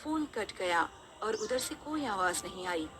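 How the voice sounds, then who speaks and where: A woman's voice: medium pitch, expressive, a little slow. A woman narrating a scripted horror story.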